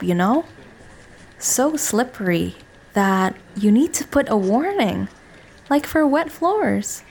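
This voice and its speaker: A woman's voice: fairly high pitch, darkish tone, slight background noise. A woman playing a flustered, smitten young woman in an audio drama, hesitant and earnest, apologetic and self-deprecating. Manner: hesitant, nervous, earnest confession. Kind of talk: audio drama acting, naturalistic dialogue.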